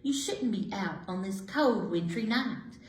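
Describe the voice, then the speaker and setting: Kindly elderly storybook woman female reader acting, gentle, content, highly melodic delivery, roomy sound. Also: lively intonation, measured pace, close to an everyday voice. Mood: comforting. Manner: gentle, reassuring, reminiscing. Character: kindly elderly woman, lonely yet content, comforting a cat. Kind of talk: rhyming picture-book storytelling. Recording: roomy, echoey indoor sound.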